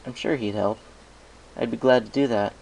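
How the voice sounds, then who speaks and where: A man voicing a hesitant, agreeable young male pony who speaks in a halting, unsure way. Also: medium pitch, fairly even intonation, vocal fry, a little mellow, rough recording.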